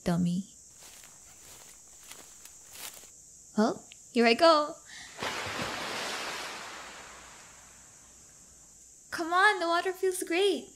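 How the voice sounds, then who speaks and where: A woman playing a playful, crafty but affectionate teenage delinquent girlfriend in an intimate roleplay audio, teasing and coaxing. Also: fairly high pitch. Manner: teasing, coaxing, drawn-out delivery.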